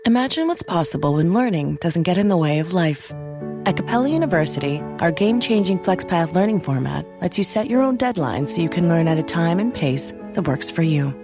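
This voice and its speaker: A woman's voice: on the low side, lively intonation, a little fast. A woman announcer reading a scripted advertisement. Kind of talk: scripted ad read. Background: music.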